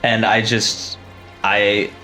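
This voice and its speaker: A man playing a tabletop roleplaying game, chatting casually in a halting, informal, sweary way. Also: fairly low pitch, little pitch movement, bright, forceful tone, low-quality recording. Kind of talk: casual improvised game chat. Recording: faint music behind the voice. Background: background music score.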